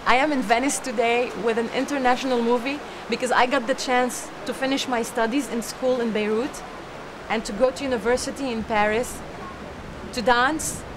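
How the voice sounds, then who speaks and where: Young actress from Lebanon, higher voice, earnest, recorded with noise behind. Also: female voice, fairly even intonation, normal speed. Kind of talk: interview answers.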